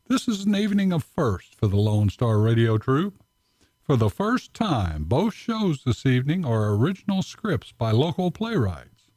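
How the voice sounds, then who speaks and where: A man's voice: medium pitch, darkish tone, no echo. A man hosting a radio program with a formal, scripted introduction. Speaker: radio show host.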